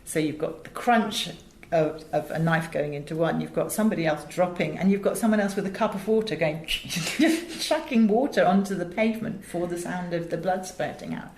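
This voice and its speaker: A woman's voice: fairly low pitch, lively intonation, normal speed, few pauses, darkish tone. English woman, middle-aged interviewee, emphatic storyteller; echoey room with faint background noise. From England. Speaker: interview guest, voice director and audio producer. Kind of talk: animated anecdotal interview talk.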